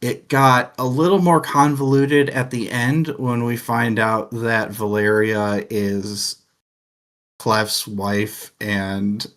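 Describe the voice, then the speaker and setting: A man's voice: medium pitch, flat delivery, slow, a bit bright. A man co-hosting a casual book discussion, talking in a rambling, thoughtful way with plenty of filler words. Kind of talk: casual chat.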